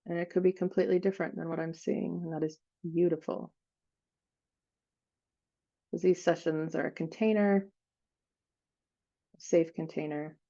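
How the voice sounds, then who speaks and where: Woman doing guided meditation, kinda low voice w lots of vocal fry, gentle n pausey, slightly muffled recording. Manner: gentle, unhurried phrasing with frequent pauses. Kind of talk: guided meditation, improvised visualization.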